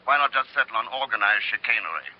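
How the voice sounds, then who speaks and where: An actor playing a cool, dryly witty secret agent in an old radio drama, brisk and unruffled. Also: male voice, medium pitch, animated, lightly acted. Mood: wryly amused. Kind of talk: 1940s radio drama acting. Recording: dull old radio recording, faint noise.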